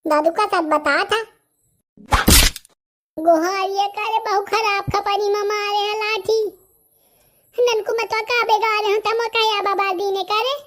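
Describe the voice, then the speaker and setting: A man's voice: very high voice, little pitch movement, a little slow, pauses often, fairly bright tone. A man voicing a male villager with a Bundelkhandi accent in a comic cartoon, protesting and pleading as one accused of wrongdoing.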